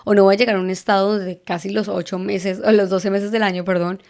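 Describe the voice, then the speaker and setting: A woman's voice: mid-range voice, level tone, fairly quick, gravelly, a little mellow. A woman in her thirties from Colombia with a Bogotá accent, hosting a podcast and explaining in a chatty way, often checking with listeners. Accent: Bogotá Colombian Spanish. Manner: chatty, asks listeners for agreement with frequent tag questions. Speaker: podcast host. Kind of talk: casual explanatory podcast talk. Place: living in Texas.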